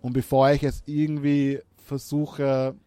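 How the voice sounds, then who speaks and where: A musician, answering interview questions in a reflective way, with many restarts and fillers. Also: male voice, medium pitch, completely flat delivery, unhurried, mellow, clean tone, faint music behind. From Austria. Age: 30s.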